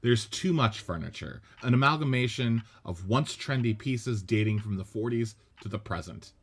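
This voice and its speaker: A man reads out stage directions in a plain, matter-of-fact way. His voice sits fairly low. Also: normal speed, slightly rough. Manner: even, matter-of-fact reading. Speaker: narrator reading stage directions. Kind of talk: script reading narration.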